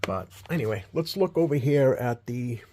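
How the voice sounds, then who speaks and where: A man hosting a hobby video with casual, unscripted commentary, talking in a halting, rambling way and often correcting himself. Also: mid-range voice, animated, warm tone, a little hiss or hum. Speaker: host of a radio hobby video.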